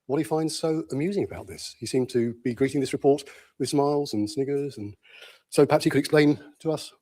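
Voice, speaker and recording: Measured, reproachful councillor, mellow voice. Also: male voice, medium pitch. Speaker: county councillor. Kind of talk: formal council debate speech.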